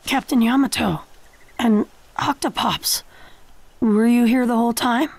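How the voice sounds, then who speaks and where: A woman's voice: a little high, fairly even intonation, unhurried, vocal fry, no echo. A woman voicing a brash, earnest teenage ninja boy in an anime video game, with a scratchy, boyish voice. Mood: curious. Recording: music behind the voice. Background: game music.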